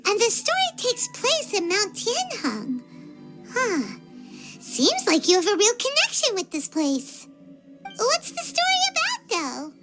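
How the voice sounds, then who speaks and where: A woman's voice: very high voice, huge pitch swings, measured pace, music in the background, full character voice. A woman voicing a small, childlike, bubbly companion girl in an anime game dub, exclaiming and calling herself by name. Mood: curious. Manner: refers to self in third person, exclamatory.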